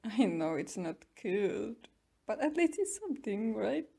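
A woman playing a smug, taunting tsundere captor in an ASMR roleplay, mocking and teasing with drawn-out pauses. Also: mid-range voice, big pitch swings, vocal fry, warm tone, breathy edge, exaggerated voice. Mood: grudging, threatening. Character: smug, taunting tsundere young woman keeping a captive werewolf.

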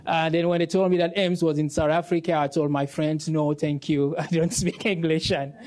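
Young French-native man from Cameroon, earnest panelist on a lapel mic, a touch high-pitched. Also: flat delivery, moderate pace, darkish tone. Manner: earnest storytelling, laughs easily. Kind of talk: panel discussion answer. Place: stage of a conference room.

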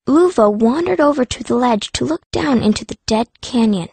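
A woman's voice: a little high, lively intonation, normal speed, gravelly, warm tone, breathy edge, no room sound. A woman narrating a fantasy audiobook in the first person, as a young girl mage telling her story.

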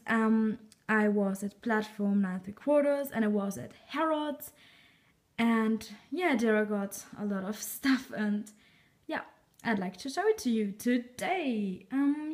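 A young woman from Austria, speaking English with a German-speaking Austrian accent, showing off souvenirs in a casual, hesitant haul video. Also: a little high. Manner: slow, hesitant, frequent ums and giggles. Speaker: vlogger. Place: bedroom at night, indoors.

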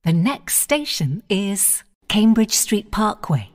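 A woman giving automated onboard train announcements in measured, clipped phrases, naming each station in turn. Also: medium pitch, sing-song.

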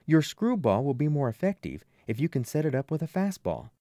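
Man playing an earnest, animated kid team captain. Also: fairly high pitch, fairly quick, dry recording, clean studio sound, voice pushed up. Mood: coaching. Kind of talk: audiobook character voice.

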